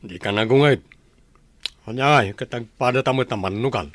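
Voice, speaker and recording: An actor playing an irritable gambling husband in an Ilocano radio drama. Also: male voice, mid-range voice, normal speed, lightly acted. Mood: irritated.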